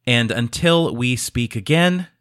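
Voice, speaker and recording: A man's voice: medium pitch, lively intonation, slow, fairly bright tone, clear, resonant tone, dry recording, clean studio sound. A man hosting a podcast in a casual, thoughtful and sincere way. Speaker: podcast host.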